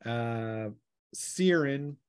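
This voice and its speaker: A man's voice: mid-range voice, expressive, drawn-out words, smooth, no echo. A man runs a tabletop game as game master, taking his time. He calls the action in plain, colloquial language, with very little room sound on his voice.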